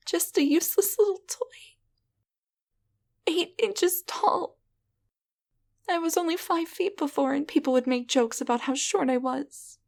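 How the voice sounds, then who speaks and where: A woman voicing a timid, grateful tiny enchanted doll in an intimate roleplay audio drama, speaking softly, gently and haltingly with a breathy voice. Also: sits high, heavily acted. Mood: moved, self-doubting. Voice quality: soft, breathy.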